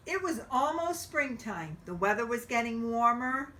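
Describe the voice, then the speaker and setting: A woman reading a picture book aloud to young children, expressively and with drawn-out emphasis. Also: fairly high pitch, sing-song, sharp tone, far from the mic. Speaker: children's storybook reader.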